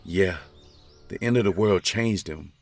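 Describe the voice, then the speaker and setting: A man's voice: low voice, a little slow, soft background music. A man playing a hardened, haunted father surviving a zombie apocalypse in a comic dub drama. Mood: troubled.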